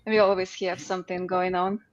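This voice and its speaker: A woman's voice: lowish voice, little pitch movement, a little fast. A woman on a development team speaks cheerfully and chattily during a livestream. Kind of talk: casual livestream chat. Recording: dull, slightly lo-fi sound.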